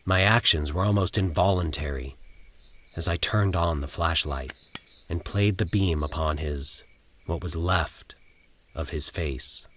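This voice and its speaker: A man narrating scripted horror stories as a podcast host, in a theatrical, measured storyteller's manner. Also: deep voice, a bit bright, a little hiss or hum.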